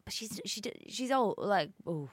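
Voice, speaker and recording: A young woman co-hosting a podcast, chatty and melodramatic, telling stories quickly. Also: mid-range voice, expressive, slightly rough, no room sound. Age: young adult. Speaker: podcast co-host. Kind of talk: casual chat.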